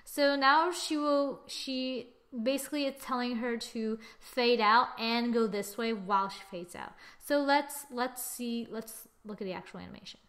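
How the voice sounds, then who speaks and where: A young adult woman giving a casual software tutorial, with a playful, exclaiming manner and little sing-song asides. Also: a little high, fairly even intonation, unhurried, few pauses. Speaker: tutorial presenter. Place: screen-recorded voice-over.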